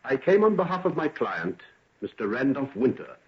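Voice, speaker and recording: An actor playing a formal, impatient middle-aged gentleman lawyer in an old radio drama. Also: medium pitch, big pitch swings, normal speed. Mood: guarded. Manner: formal, clipped, indignant. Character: stiff, impatient gentleman lawyer, a disapproving father. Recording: dull, noisy old radio recording with clicks.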